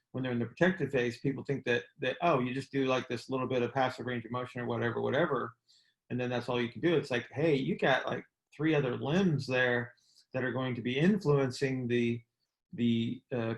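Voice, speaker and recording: A man's voice: mid-range voice, poor audio. A man explaining things informally on a group call as host and coach, often repeating his words and checking for agreement. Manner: halting, repeats words, asks checking questions.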